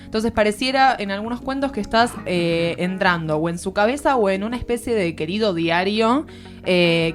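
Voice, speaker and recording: An Argentine woman delivers a radio literary column in a mid-pitched voice. She talks informally and reflectively, often searching for the right word. Music plays clearly behind her. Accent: Argentine, Buenos Aires area. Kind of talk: conversational book commentary on radio. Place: radio studio. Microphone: studio microphone.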